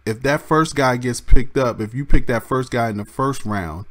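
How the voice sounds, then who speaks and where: A man with a slightly raspy voice, casually explaining a game mode in a rambling way, with restarts and many ums. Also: mid-range voice, normal speed, a bit bright. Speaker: gaming YouTuber.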